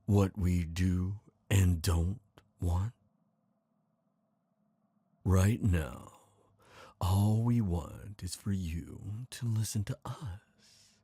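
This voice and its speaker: A man voicing a smooth, scheming traitor prince, gloating and seductive, in an intimate fantasy ASMR roleplay. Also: deep voice, dark tone, no room sound, heavily acted. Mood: coaxing, commanding. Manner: slow, intimate, theatrical villain delivery.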